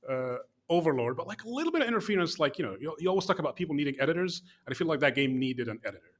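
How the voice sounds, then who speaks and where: A man's voice: on the high side, very animated, very fast. A man co-hosting a gaming podcast, giving opinionated, rambling takes with lots of fillers and restarts. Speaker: podcast co-host. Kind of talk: casual chat.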